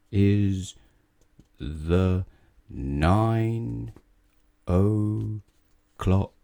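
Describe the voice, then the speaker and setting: A man with a low voice reads a children's storybook aloud. His delivery is measured and expressive, with animated swings in pitch and a mellow tone. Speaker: storybook reader. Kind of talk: children's read-aloud narration.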